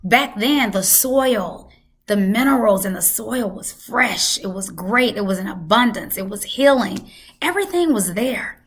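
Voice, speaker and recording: A woman hosting a health channel, hoarse from losing her voice, talking casually and emphatically to her viewers. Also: medium pitch, lively intonation, measured pace. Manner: emphatic, repeats for effect. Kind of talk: casual talk to camera.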